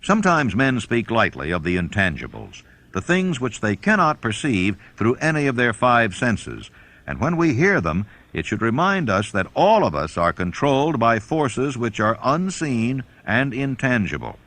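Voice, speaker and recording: A man reading a self-help audiobook as narrator, in a measured, instructional manner. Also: medium pitch, wildly swooping pitch, fairly bright tone.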